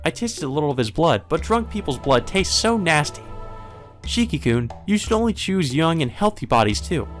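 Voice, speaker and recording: Guy doing a teen vampire girl from a visual novel, sweet and happy but creepy, voice pushed higher. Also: very animated, normal speed, soft background music. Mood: cheerful, apologetic. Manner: pleasant, delighted delivery of grim words. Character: cheerful teenage vampire girl, sweetly menacing killer. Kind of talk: visual novel character reading.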